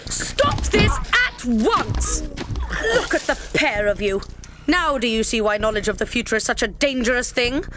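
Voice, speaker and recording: A woman's voice: on the high side, expressive, a little slow, a bit bright, soft background music. A British woman playing a bossy young lady posing as an imperious alien noblewoman in an audio drama, commanding and scolding. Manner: haughty, commanding, crisply scolding. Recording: faint background noise.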